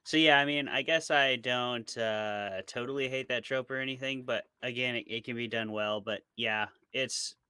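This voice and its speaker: A man's voice: medium pitch, moderate pace, hardly any pauses, pushed and bright, clear, resonant tone, no echo. A man being interviewed as an author, chatting casually and thoughtfully about his opinions, often qualifying them with asides. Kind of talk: casual chat about horror tropes.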